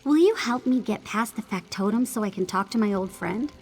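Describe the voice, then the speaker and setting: A woman's voice: mid-range voice, lively intonation, a little fast, a little mellow, husky, soft background music. A woman voicing an earnest, suspicious young apprentice in a video game, with a questioning way of talking. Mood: wary, pleading. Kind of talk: video game character dialogue.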